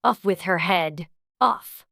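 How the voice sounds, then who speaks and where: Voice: mid-range voice, takes it slowly, creaky, pushed and bright, dry recording, clean studio sound, pitched above the performer's own voice, full character voice. A narrator voicing an imperious, irritable queen who talks severely and curtly in a storybook. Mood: furious. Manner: severe, commanding, curt. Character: imperious, irritable, furious Queen of Hearts, woman. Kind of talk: dramatized storybook reading.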